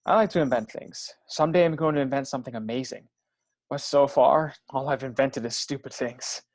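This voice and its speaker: An actor playing an earnest, understated, hopeful inventor in a naturalistic play reading. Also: male voice, medium pitch, fairly quick, darkish tone, dry recording, lightly acted. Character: earnest, persistent romantic inventor with big hopes. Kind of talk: naturalistic stage drama read over video call.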